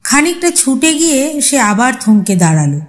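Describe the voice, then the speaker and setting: A woman narrating a horror story as a storyteller, with a suspenseful, expressive dramatic reading. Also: high-pitched, normal speed.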